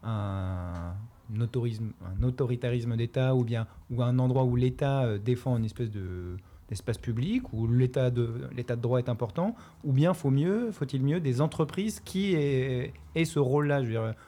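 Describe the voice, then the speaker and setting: Man, mellow warm tone, hesitant, lots of restarts, casual debate. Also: mid-range voice, expressive, moderate pace. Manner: hesitant, many fillers and restarts while arguing. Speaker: podcast co-host.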